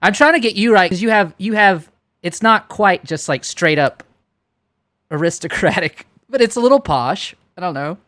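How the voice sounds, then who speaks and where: A man's voice: high-pitched, bright, forceful tone, no room sound, studio quality. A man with an American Southern accent hosting a live stream, chatting in a teasing, enthusiastic way. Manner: teasing, enthusiastic, quick to joke. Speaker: stream host. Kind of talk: casual chat on a live stream.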